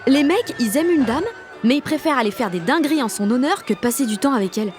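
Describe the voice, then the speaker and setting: A woman's voice: high voice, lively intonation, fast, darkish tone, soft background music. Brash, cocky French teenage girl. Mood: amused, mocking. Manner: slangy, sweary, punchy inner monologue. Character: brash, cocky sixteen-year-old girl narrating her day. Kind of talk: first-person audio fiction monologue.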